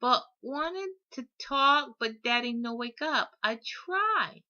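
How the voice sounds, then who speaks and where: A woman voicing a tiny, timid, apologetic alien child who talks in halting baby talk in an audiobook. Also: high-pitched, animated, bright, forceful tone, lo-fi sound, voice pushed up, full character voice. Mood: timid, worried.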